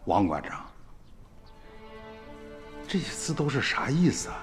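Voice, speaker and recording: A man's voice: mid-range voice, big pitch swings, measured pace. A man playing an old peasant grandfather in a radio drama, earthy and colloquial, emotional in his storytelling. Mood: recounting. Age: older. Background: music.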